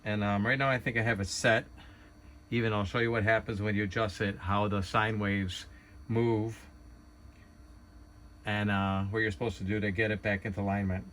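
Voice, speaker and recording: A man's voice: on the low side, little pitch movement, moderate pace, long pauses, a bit bright, slight background noise. A man giving a casual hands-on tutorial, thinking aloud in an unpolished, hesitant way. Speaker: hobbyist demonstrator.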